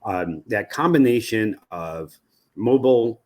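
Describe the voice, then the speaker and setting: Male expert guest, American accent, deep voice, measured and halting explanatory delivery. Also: expressive, darkish tone. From United States. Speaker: interview guest, research company president. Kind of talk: explanatory interview answers.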